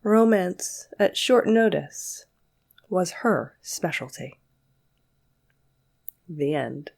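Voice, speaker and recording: A woman's voice: lowish voice, lively intonation, extremely slow, gravelly, a little mellow. A young woman with a slight cold, reading a short story aloud and then chatting casually about it with fillers and self-corrections. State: slightly stuffy with the sniffles. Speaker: audiobook reader and channel host.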